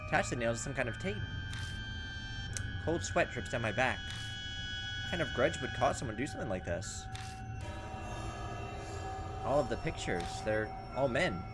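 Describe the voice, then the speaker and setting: A man's voice: medium pitch, expressive, moderate pace, pauses often, poor audio. A man hosting a let's play, reading visual novel text aloud and chatting in a hesitant, thinking-aloud way. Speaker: gaming video host. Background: game music.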